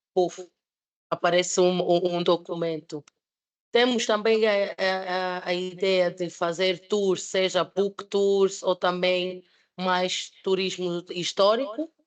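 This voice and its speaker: A woman in her thirties, not a native Portuguese speaker, presenting a project at a panel debate with many fillers and restarts. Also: on the low side. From Switzerland. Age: 30s.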